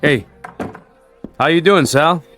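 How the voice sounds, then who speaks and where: A bartender in a radio drama, with a slightly high voice. He is dry, wry and won't budge, chummy in his phrasing over faint music. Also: takes it slowly, fairly bright tone. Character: wry, unyielding male bartender. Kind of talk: old-time radio drama acting.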